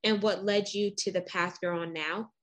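A woman's voice: medium pitch, fairly even intonation, moderate pace. A woman hosting a casual interview segment, upbeat and encouraging with her guest. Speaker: interview host.

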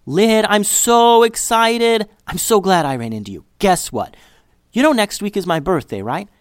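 A man voicing an excitable, chatty young girl in a children's storybook, gushing breathlessly. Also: mid-range voice, normal speed, no room sound, studio quality, lightly acted. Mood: excited, gushing.

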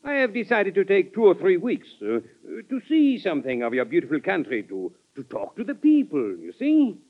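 Animated, high-voiced French diplomat. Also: male voice, moderate pace, exaggerated voice. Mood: suave. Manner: oratorical, courtly, hesitating for words. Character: ardent, self-assured French diplomat, courtly and rhetorical. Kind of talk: classic radio drama acting. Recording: dull old radio recording with music.

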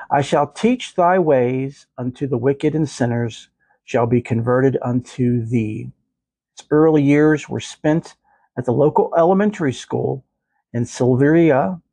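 Congested, gravelly man hosting a faith podcast. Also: medium pitch, darkish tone, dry recording. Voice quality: nasal. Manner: earnest, effusive, jokes with himself. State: congested from the flu. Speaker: podcast host. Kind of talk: faith podcast chat and reading aloud.

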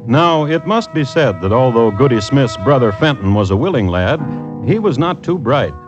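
A man narrating a scripted 1950s radio crime show as host, with droll, deadpan irony. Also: mid-range voice, normal speed, over music. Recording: dull old radio recording.

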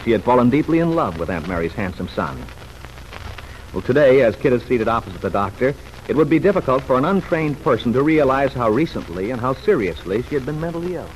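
Lively male old-time radio narrator. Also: mid-range voice, brisk. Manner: dramatic, formal storytelling. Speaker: announcer and narrator. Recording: faintly noisy vintage radio recording.

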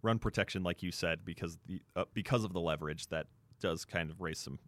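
Low-voiced man talking sports. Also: fairly quick, no echo. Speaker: sports show co-host. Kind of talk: conversational sports analysis.

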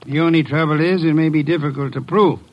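An actor playing an imperious, brilliant older private detective, gruffly dismissive and precise in his diction, in 1950s radio drama style. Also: fairly high pitch, fairly even intonation, dull audio, natural voice. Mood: commanding, assured. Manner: pompous, precise, deliberate diction.

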